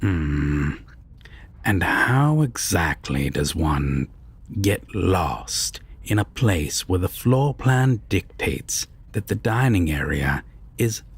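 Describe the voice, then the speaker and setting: A man playing a menacing, condescending vampire captor in a roleplay audio drama, talking in a mocking, deliberate way with husky, breathy whispers. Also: low-pitched, sing-song, full character voice. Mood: cool, mocking. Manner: slow, deliberate, mocking, formal phrasing.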